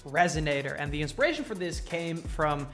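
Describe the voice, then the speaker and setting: Man, slightly higher-pitched voice, enthusiastic, informal, tutorial host, casual delivery, faint music behind. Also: sing-song, a little fast, fairly bright tone.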